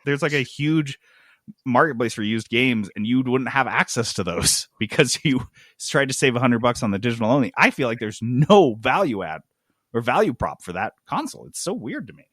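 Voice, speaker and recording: Guy hosting a gaming podcast, slangy n animated, avg pitch, dry studio sound. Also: moderate pace, dry recording. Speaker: podcast host. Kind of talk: casual gaming news discussion.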